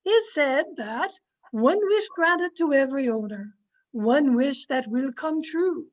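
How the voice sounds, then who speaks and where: A woman's voice: high-pitched, animated, slow pace, poor audio. A woman playing a devoted, solemn old maidservant in an old-time radio drama. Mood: solemn, mysterious. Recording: home recording over video call.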